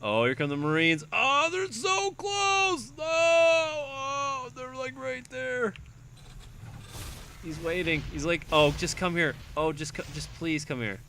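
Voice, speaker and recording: A young man with a raspy voice calling a strategy game alone, excitable and quick to shout when fights break out. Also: very high voice, slight background noise. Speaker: esports caster. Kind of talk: solo play-by-play game commentary.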